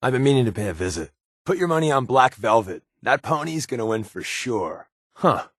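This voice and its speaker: A sardonic wasteland spy in a video game, close and dry in sound. He jokes in a deadpan way, yet his mid-range pitch moves around a lot. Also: brisk, no echo. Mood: musing. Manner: dry, deadpan, joking delivery. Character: wisecracking sardonic male spy companion in a wasteland. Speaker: voice actor. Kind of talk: video game companion dialogue.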